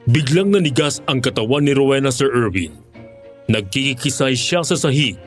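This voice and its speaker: A man playing a former monster hunter, narrating his grim experience in Tagalog in a serious, measured way. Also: mid-range voice, natural voice. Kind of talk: dramatic horror story narration in Tagalog.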